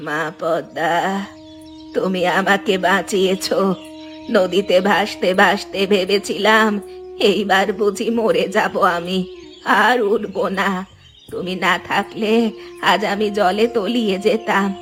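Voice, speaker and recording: A woman voicing a wise, grateful old village grandmother in a cartoon, motherly and measured as she gives advice. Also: medium pitch, steady tone, a bit bright, faint music behind. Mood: grateful, earnest. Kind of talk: cartoon dialogue.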